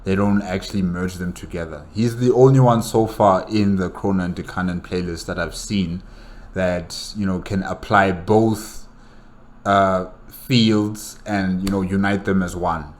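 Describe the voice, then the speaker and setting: A man hosting a reaction show, giving casual commentary in a halting way, thinking aloud and repeating himself. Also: sits low, fairly even intonation. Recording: noticeable background noise.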